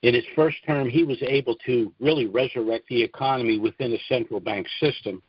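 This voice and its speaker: An American man speaking deliberately and haltingly in an informal monologue as host of a conference call. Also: medium pitch, dry recording. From United States. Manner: deliberate, halting, frequent throat-clearing. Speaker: conference call host promoting trust services. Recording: dull, dry conference call audio.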